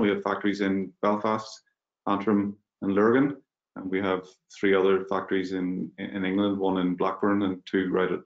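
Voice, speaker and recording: A man's voice: low-pitched, vocal fry, roomy sound, dull audio. A man with a Northern Irish accent, talking as a guest on a webinar in a conversational way with many fillers and restarts. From Northern Ireland. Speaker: guest speaker, packaging company manager. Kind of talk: webinar talk.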